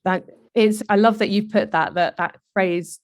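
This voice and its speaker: A woman's voice: mid-range voice, fairly even intonation, measured pace, no echo, studio quality. A woman hosting a podcast, asking open questions in an encouraging way. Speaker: podcast host. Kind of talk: podcast interview.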